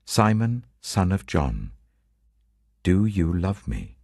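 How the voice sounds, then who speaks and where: A British man voicing Jesus as a calm, authoritative and gentle teacher in a Bible reading, slow, solemn and tender. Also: deep voice, no room sound, studio quality, voice pushed up, exaggerated voice. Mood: tender, searching.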